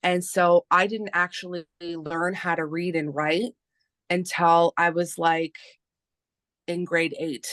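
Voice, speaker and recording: A woman in her thirties from Canada, a native Spanish speaker, talking slowly and hesitantly with many fillers as an interview guest. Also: fairly low pitch, flat delivery, a bit bright, no echo, clean studio sound. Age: 30s. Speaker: guest, artist and publisher. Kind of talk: casual interview talk.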